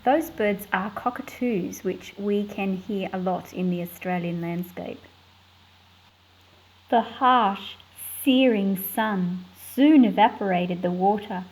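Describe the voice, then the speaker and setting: Female picture book reader. Medium pitch, measured narration with clear explanatory intent. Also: a little hiss or hum, poor audio. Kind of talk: read-aloud narration with short explanatory asides.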